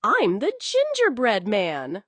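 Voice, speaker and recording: A proud, childlike little gingerbread man from a storybook, played by a woman. The delivery is singsong and exclamatory, with big swings in pitch. The sound is close and dry. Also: wildly swooping pitch, slow, no echo, full character voice. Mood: boastful. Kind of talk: children's bedtime storybook character.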